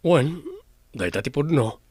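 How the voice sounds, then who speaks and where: A man playing a gentle, struggling father in a radio drama, hesitant and placating. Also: medium pitch, big pitch swings, a little slow, breathy edge, no echo. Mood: resigned. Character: struggling, gentle, resigned father of a young child. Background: music.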